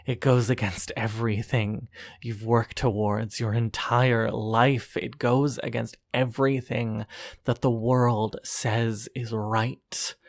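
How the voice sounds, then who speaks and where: A narrator performing scripted horror fiction in a deliberate, dramatic manner, as a dying lover speaking in haunting reproach. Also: mid-range voice, little pitch movement, no room sound, studio quality. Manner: slow, deliberate, dramatic, with pauses.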